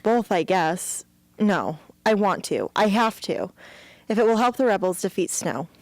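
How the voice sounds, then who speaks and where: A woman's voice: mid-range voice, lively intonation, a little slow, no room sound. A woman playing a troubled, determined teenage girl rebel in an amateur radio drama. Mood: troubled, subdued.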